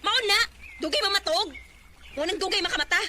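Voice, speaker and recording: A woman's voice: very high voice, brisk. A woman playing a harsh, bossy, nagging mother with a Cebuano Filipino accent in a radio drama. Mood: scolding, impatient. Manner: scolding, curt, bossy. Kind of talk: Philippine radio drama acting. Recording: faint background noise.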